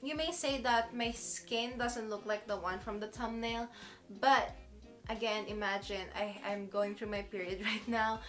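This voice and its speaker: A woman's voice: fairly high pitch, fairly even intonation, measured pace, fairly bright tone, faint music behind. A woman in her twenties with a Filipino accent, chatting casually and giggling as she talks about skincare. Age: 20s. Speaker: beauty vlogger. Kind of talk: casual chat to camera.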